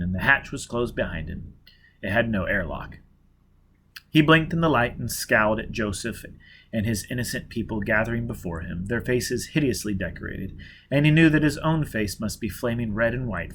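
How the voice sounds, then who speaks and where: A man narrating a science fiction novel as an audiobook, with steady, dramatic pacing. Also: medium pitch, a little hiss or hum.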